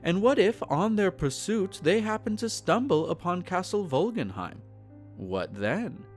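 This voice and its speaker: A man's voice: high-pitched, very animated, a little slow, faint music behind. A man playing a possessive, aristocratic male vampire in an intimate roleplay audio drama, speaking formally, soothing yet condescending and menacing. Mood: pensive.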